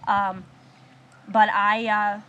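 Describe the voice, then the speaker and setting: A woman of medium pitch shares her own story of surviving abuse in a support circle. She talks in a halting, reflective way, pausing and restarting, and she slows and softens on the painful memories. A faint hiss sits under her voice. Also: level tone, highs cut off. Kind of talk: personal testimony in a support circle.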